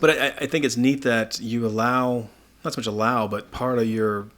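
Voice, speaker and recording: A man's voice: mid-range voice, steady tone, moderate pace, fairly bright tone. A man hosting a podcast, chatting casually as he interviews.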